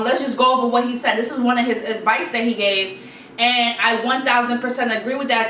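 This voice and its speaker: A young woman with a Guyanese accent, chatting casually to camera in a sit-down advice vlog, reading quotes and repeating points for emphasis. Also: on the high side. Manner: animated, chatty, repeats herself, reads quotes aloud. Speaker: YouTube vlogger. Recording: roomy echo, slightly distant mic, faint noise.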